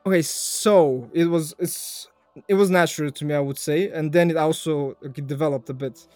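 A man's voice: fairly high pitch, normal speed, a little mellow, no room sound, soft background music. Young man. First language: Bulgarian. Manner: chatty, laughs easily, repeats phrases. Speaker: guest. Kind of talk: casual podcast interview.

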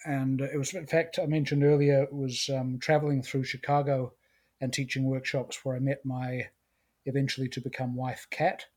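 A middle-aged man with a New Zealand accent, a guest in a casual interview, talking in a relaxed, thoughtful way. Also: medium pitch, moderate pace.

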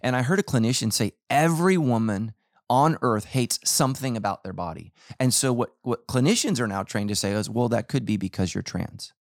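A man's voice: medium pitch, a little fast, dry recording, studio quality. An American man talking casually as a podcast host, telling things in a conversational, self-interrupting way. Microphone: close podcast microphone.

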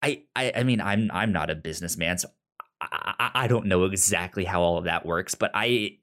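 A man's voice: deep voice, a little fast, fairly bright tone. A man co-hosting a podcast, chatting casually about a TV series, often stammering and restarting his words. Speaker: podcast co-host. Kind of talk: casual TV recap chat.

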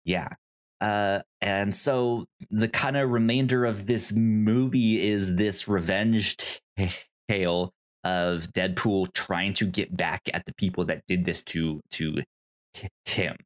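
A man hosting a podcast, casually recapping movies in a halting, stammering way with repeated word starts. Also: low voice, steady tone. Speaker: podcast host.

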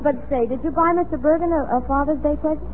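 A woman's voice: high-pitched, quick pace, close to an everyday voice. A woman playing a young girl in 1940s radio comedy, playfully chiding and giggly. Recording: noisy lo-fi old radio recording.

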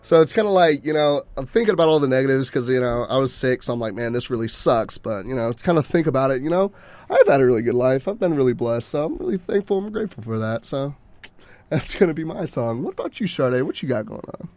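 A man's voice: medium pitch, very animated, quick pace, a little mellow, no room sound. A young man radio DJ co-hosting a podcast, a bit stuffy from a cold, rambling and reflective. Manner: rambling, reflective, many fillers. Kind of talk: casual chat.

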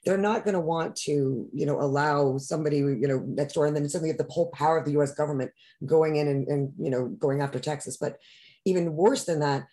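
A woman's voice: low-pitched, fast, mellow. A woman from New York, a podcast guest, talking in an impassioned, rambling way with many restarts. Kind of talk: emotional political conversation.

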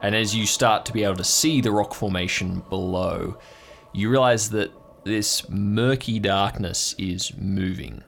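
A man's voice: lowish voice, fairly even intonation, unhurried, vocal fry, a bit bright, a little hiss or hum. A man improvising descriptive narration as game master of an audio drama, setting scenes in the second person. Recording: music playing behind the voice. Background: music.

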